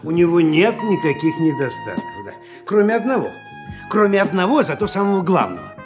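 Casting: pompous middle-aged TV pundit and bickering father, high voice, very lively delivery, radio play. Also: a little fast, music in the background. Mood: grumbling. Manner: pompous, stammering repetitions when arguing. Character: vain, argumentative TV news commentator and father. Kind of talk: Russian audio play acting.

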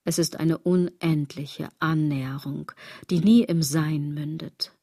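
Husky, sardonic, brooding woman. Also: sits low, steady tone, measured pace, warm tone, dry recording, studio quality, exaggerated voice. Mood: wistful, self-mocking. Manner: ironic, theatrical, shifting into breathy whispers. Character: sardonic, brooding woman philosophising bitterly about fashion. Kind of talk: radio drama monologue.

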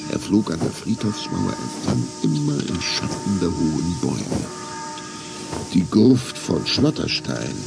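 Deep creaky guy narrating a kids radio play, suspenseful, really animated intonation. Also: noisy background. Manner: suspenseful, measured storytelling. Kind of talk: scripted story narration for a children's radio play.

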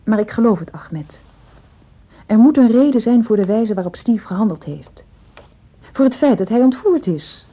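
Earnest young heroine, radio drama, breathy mellow voice, intense, pleading, stubbornly loyal. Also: female voice, a little high, lively intonation, normal speed, dull audio. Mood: anxious, insistent.

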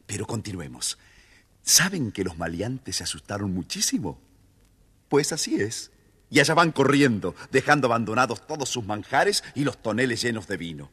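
A man's voice: mid-range voice, very animated, normal speed, pushed and bright, husky. A man narrating a children's story playfully, addressing young listeners as storyteller.

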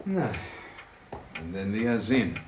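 A man's voice: on the low side, very animated, normal speed, darkish tone, slight background noise. A man playing a shrewd, commanding police inspector in a German radio crime drama, questioning with dry, sardonic authority. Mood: stern, probing. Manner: probing, authoritative, dryly mocking.

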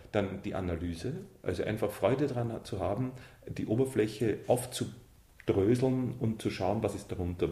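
A man's voice: lowish voice, mellow. A man giving a reflective interview answer about literature, correcting himself as he searches for words. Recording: roomy, echoing sound.

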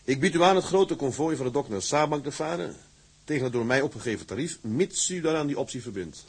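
A male actor playing a resolute, stubborn tugboat captain in a drama, with a rough, husky voice and a firm, formal manner. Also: medium pitch, expressive, fast talker. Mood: determined.

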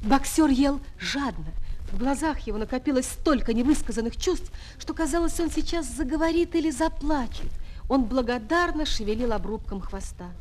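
A woman playing a schoolteacher who narrates a children's audio play with expressive storytelling. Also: high-pitched, moderate pace, a little hiss or hum, close to an everyday voice, poor audio. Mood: thoughtful. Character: female schoolteacher quietly following and admiring her pupil. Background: music.

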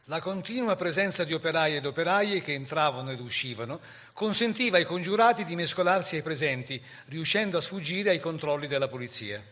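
Male narrator, forceful and slightly high, for dramatised historical radio storytelling. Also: animated, brisk, hardly any pauses.

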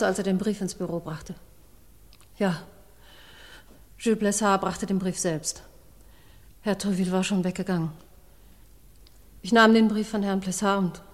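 Distressed female witness, echoing hall. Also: mid-range voice. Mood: anguished confession. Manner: halting, faltering, repeating herself. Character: distressed forty-year-old secretary confessing as court witness. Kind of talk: radio crime play acting. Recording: long reverberant courtroom hall.

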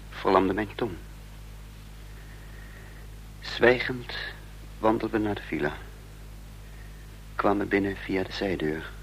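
An actor playing a troubled man caught up in intrigue, narrating his own story with measured drama in a radio play. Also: on the low side. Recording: faintly noisy, humming radio play recording.

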